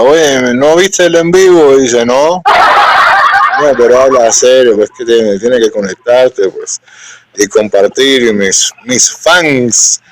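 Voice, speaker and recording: A man with a Cuban accent hosts a live-streamed interview. He speaks in an earnest, unhurried way. His voice sits in a middle pitch, and the sound is dry and close, with no room echo. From Cuba. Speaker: host.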